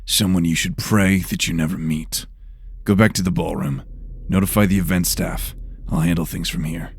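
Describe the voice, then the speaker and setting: A man's voice: low voice, steady tone, moderate pace, gravelly, breathy edge, heavily acted. A man playing a brusque investigator of magical murders in a fantasy audio drama, blunt and demanding. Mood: stern.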